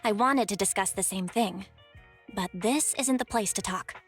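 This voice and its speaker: A woman's voice: mid-range voice, normal speed, soft background music, lightly acted. An actress playing a cautious, friendly young female manager in a game.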